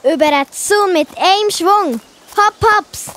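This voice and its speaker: A woman's voice: very high-pitched, a little slow, fairly bright tone. A woman voicing a cheerful, sensible young girl with a Swiss German accent in a children's audio play. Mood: excited.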